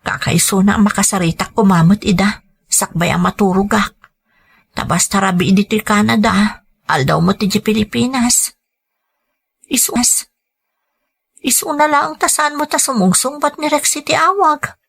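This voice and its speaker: Creaky, husky, fretful Ilocano woman. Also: mid-range voice, moderate pace, pauses often. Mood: worried. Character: worried woman fretting over unanswered calls. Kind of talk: radio drama monologue.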